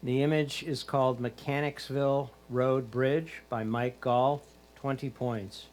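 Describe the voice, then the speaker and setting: A man's voice: mid-range voice, steady tone, measured pace, darkish tone. A man working as a competition score announcer, reading out titles and scores in a formulaic way.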